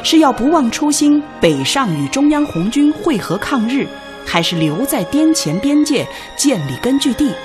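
A woman's voice: high voice, sing-song, moderate pace. A woman narrating a radio drama from a script in a formal, solemn, declamatory manner. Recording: music clearly behind.

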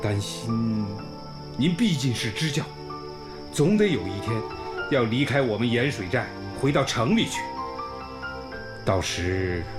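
A man's voice: medium pitch, animated, unhurried, long pauses, close to an everyday voice. An actor playing a kindly old village school principal in a radio drama, effusive and homely, sighing and exclaiming as he talks. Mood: worried, wistful. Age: older, around sixties. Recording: music bed under the voice.

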